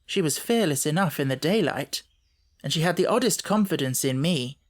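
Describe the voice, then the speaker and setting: A man reading literary first-person narration in a measured, formal storytelling manner, as an audiobook narrator. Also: on the high side, expressive.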